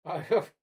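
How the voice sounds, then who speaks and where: A man of forty co-hosting a casual chat, wry and pedantic, quick to argue a point. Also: dark tone. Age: 40s. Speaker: podcast co-host.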